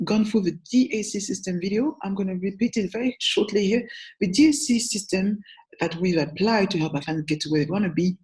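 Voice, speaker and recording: A woman's voice: medium pitch, a little mellow, rough recording. A young adult woman with a French accent, a brand strategist giving chatty, upbeat business advice to camera, often correcting herself and checking in with the viewer. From France. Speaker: brand strategist and agency founder. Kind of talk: talking-head business advice video.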